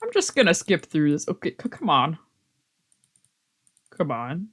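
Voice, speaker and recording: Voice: mid-range voice, wildly swooping pitch, measured pace, fairly bright tone, slightly rough. A streamer with a slangy, sweary way of talking, chatting casually and reading visual novel text aloud.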